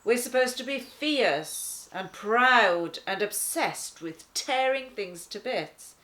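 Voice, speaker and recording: A woman reading a children's story as a laid-back talking lion, conversational and wry, with dramatic pauses. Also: on the high side, expressive, sharp tone, heavily acted, low-quality recording. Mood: wry, relaxed. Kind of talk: first-person children's storybook narration.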